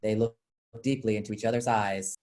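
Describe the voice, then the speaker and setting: A woman's voice: extremely low pitch, fairly even intonation, a little fast, darkish tone. A woman reading stage directions as the narrator of a staged play, in scripted narration.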